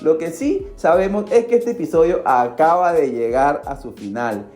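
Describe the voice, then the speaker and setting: A man's voice: mid-range voice, dark tone, roomy sound. A Peruvian man hosting a podcast, narrating from a script with casual, conversational asides. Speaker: podcast host.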